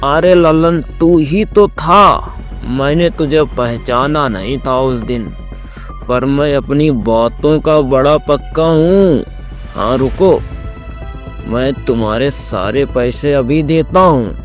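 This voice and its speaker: A man's voice: mid-range voice, slow pace, faint music behind, natural voice. A man playing a greedy, two-faced village headman in a Hindi cartoon moral story, with a fawning, theatrical manner. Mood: smooth, reassuring.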